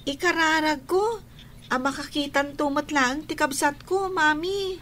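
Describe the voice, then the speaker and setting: A woman's voice: high voice, a bit bright, slight background noise. A woman playing an earnest young girl, a devoted sister, in an Ilocano radio drama, speaking in a childlike way. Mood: earnest, pleading. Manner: childlike, slow, earnest delivery.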